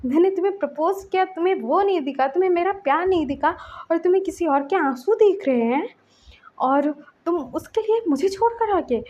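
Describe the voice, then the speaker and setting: A woman's voice: very high voice, expressive, warm tone, lo-fi sound, voice pushed up. A woman voicing a hurt, jealous young male lover in a story, accusing and indignant with insistent questions. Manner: insistent, rapid-fire rhetorical questions.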